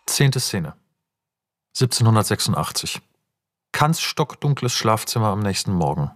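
A man's voice: lowish voice, very animated, a little slow, a bit bright, dry recording, studio quality. A man narrating scenes and stage directions for a radio play in a precise, matter-of-fact way.

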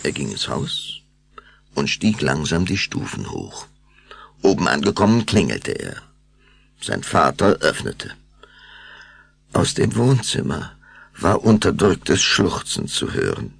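A man narrating a scripted story for a German audio drama in a measured, suspenseful way. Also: low-pitched, big pitch swings, gravelly, slightly rough.

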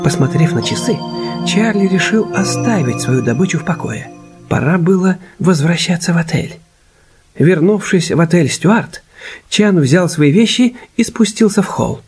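A man's voice: high voice, lively intonation, moderate pace, a little mellow, soft background music. A man narrating a scripted radio drama as storyteller.

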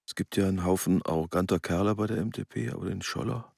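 A man's voice: low-pitched, fast, vocal fry, darkish tone, breathy edge, dry recording, studio quality, full character voice. A man acting a weary, reserved middle-aged engineer and father in a German radio drama, hesitant and brooding. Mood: wry, musing. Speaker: actor in a radio play.

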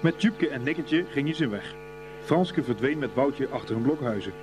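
A man's voice: mid-range voice, normal speed, background noise, close to an everyday voice. A man narrating a scripted boys' school story for a radio play. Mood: storytelling.

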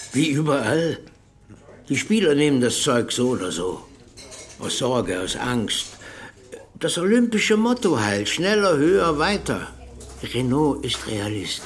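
An actor playing a guarded, pragmatic former police commissioner in a radio drama, speaking carefully and matter-of-factly. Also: male voice, medium pitch.

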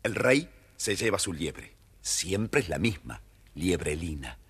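A man narrates a children's story theatrically. His voice is low and very husky, and he moves playfully up and down for effect. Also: very animated, slow pace, fairly bright tone.

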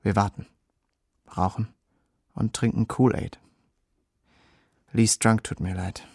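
Husky, low-voiced soldier narrator. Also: male voice, fairly even intonation, slow, darkish tone, dry recording, studio quality, heavily acted. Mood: quiet, watchful. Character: reflective American soldier recounting the Vietnam War. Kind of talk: scripted first-person radio drama narration.

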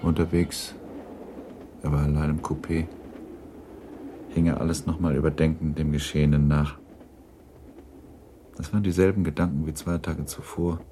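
Man, radio play narrator, scripted literary reading, very low pitch, dark warm tone, measured, faint noise behind. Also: little pitch movement.

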